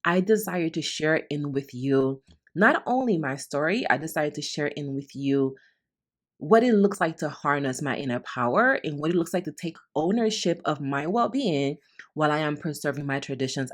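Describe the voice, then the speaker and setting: Low voiced new woman, raised in the bronx, doing a heartfelt solo podcast, repeats stuff for emphasis. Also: expressive, normal speed. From Honduras, raised in the Bronx, New York. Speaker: podcast host and mentor. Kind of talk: reflective solo podcast monologue.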